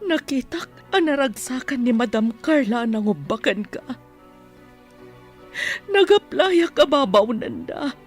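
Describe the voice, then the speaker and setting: A woman playing an anguished mother pleading with her grown son in a tearful, drawn-out way, in Ilocano-accented radio drama style. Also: high voice, big pitch swings. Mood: sorrowful confession. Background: faint background music.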